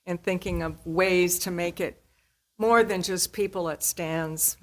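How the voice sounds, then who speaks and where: Slightly low-voiced actress in casual interview. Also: female voice, steady tone, unhurried. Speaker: community theater actor, interview guest.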